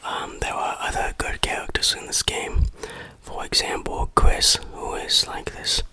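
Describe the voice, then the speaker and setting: Someone whispering an asmr game review, super casual, bright sharp whisper. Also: unhurried.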